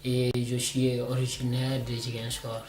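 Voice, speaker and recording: A man's voice: mid-range voice, monotone, a little slow, a little mellow, distant mic, slight background noise. A man in his twenties from Senegal, with a Senegalese accent, introducing himself as a volunteer. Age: 20s. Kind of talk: self-introduction to camera. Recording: echoey room with music underneath.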